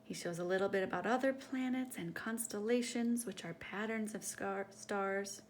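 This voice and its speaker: A woman reading a picture book aloud to children in an expressive, teacherly way, speaking directly to her young listeners. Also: medium pitch, normal speed, a little hiss or hum.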